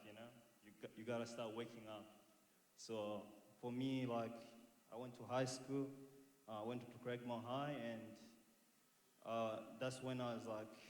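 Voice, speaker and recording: Nervous young man, echoey hall. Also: mid-range voice. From Congo, raised in Tanzania and later South Australia. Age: young adult. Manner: nervous, hesitant, repeating words. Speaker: guest speaker at a church youth expo. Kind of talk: informal personal talk to an audience. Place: large echoing indoor hall. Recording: echoey hall, some background noise.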